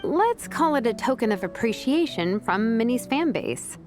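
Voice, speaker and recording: An actress playing a confident vice captain and teacher in a video game, speaking casually, diplomatically and persuasively. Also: female voice, a little high, very animated, normal speed, music in the background. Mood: casual, assured.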